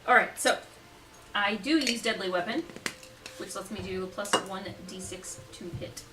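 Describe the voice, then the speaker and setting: A woman's voice: mid-range voice, unhurried. A woman playing a tabletop roleplaying game, chatting casually with sweary, sarcastic banter. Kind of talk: casual chat, game talk. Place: around a table indoors at a home game. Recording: roomy, distant mic, clear background noise.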